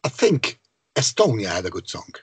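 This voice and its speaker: A man from Malta with a low voice, talking about his songwriting in a casual interview, often hesitating and repeating words. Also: huge pitch swings, normal speed, breathy edge, no room sound. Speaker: songwriter guest.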